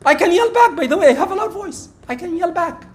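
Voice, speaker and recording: Middle-aged preacher, high voice, animated and rising to loud peaks. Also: male voice, quick pace. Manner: animated, acts out quoted exchanges, rising loud when excited. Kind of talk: sermon.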